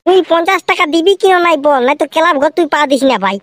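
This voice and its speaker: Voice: very high voice, rapid-fire, fairly bright tone, no echo. An actor playing a bossy, teasing village club ringleader in a comic cartoon, pushy and mock-threatening, with a rural Purulia Bengali accent. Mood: demanding.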